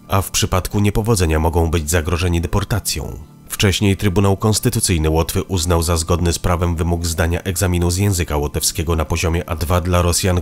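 A man reading scripted news narration in an even, measured way as a voice-over. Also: low-pitched. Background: faint background music.

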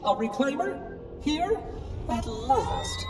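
A man's voice: extremely high pitch, lively intonation, takes it slowly, exaggerated voice. A man voicing a prim, eager, officious robot caretaker in a video game, with fussy, precise, sing-song delivery. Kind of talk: theatrical video game character acting. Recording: long reverb, music underneath.

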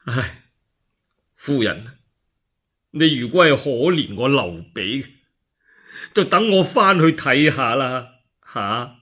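A man's voice: medium pitch, big pitch swings, slow pace, long pauses, sharp tone, slightly rough, heavily acted. A man voicing a sorrowful, weepy middle-aged warlord, plaintive, hesitant and sighing, in a one-man radio drama. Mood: sorrowful, pleading.

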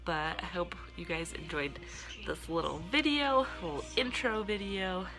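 Young woman, lowish voice, congested nose, chatty and a bit awkward, phone-recorded vlog. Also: measured pace, music in the background. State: stuffy nose. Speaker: vlogger and gym co-owner. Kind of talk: casual vlog to camera. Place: indoors, small room. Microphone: phone at arm's length.

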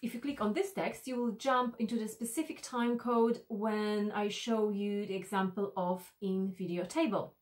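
Woman in her 30s doing a tutorial, medium pitch, explains stuff carefully, bit hesitant. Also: fairly even intonation, moderate pace, few pauses. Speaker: instructor presenting an e-learning tutorial. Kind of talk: instructional screencast walk-through to camera.

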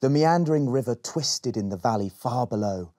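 A man in his twenties reading a children's picture book aloud in an expressive storytelling way. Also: mid-range voice, moderate pace. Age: 20s. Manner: expressive, acts out dialogue.

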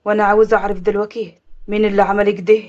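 A woman voicing a resolute, protective young man with an Upper Egyptian accent, speaking firmly and deliberately in an audio story. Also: mid-range voice, little pitch movement, darkish tone, muffled recording, pitched below the performer's own voice, close to an everyday voice. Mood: grave, determined.

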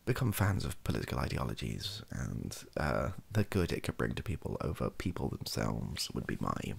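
A British man talks in an extremely low voice, heavy with fry. He speaks softly and hesitantly, rambling and rephrasing as he goes, with a husky edge to the sound. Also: sing-song. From United Kingdom. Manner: hesitant, halting, self-correcting. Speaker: ASMR video creator. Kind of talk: softly spoken improvised rambling talk. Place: small indoor home room.

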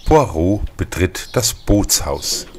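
A man's voice: deep voice, very animated, slow, a little hiss or hum. A man narrating a scripted radio mystery as storyteller. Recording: music underneath.

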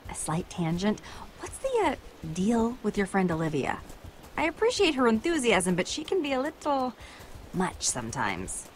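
A woman's voice: fairly low pitch, a little hiss or hum. A woman playing a self-assured, needling adventurer in a video game, dry and sardonic with awkward asides. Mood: awkward, pointed.

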